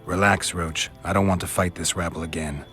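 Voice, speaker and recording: Super low deadpan fantasy game monster hunter, stoic, faint music behind. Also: male voice, fairly quick. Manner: terse, deadpan. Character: stoic, dry-witted male monster hunter.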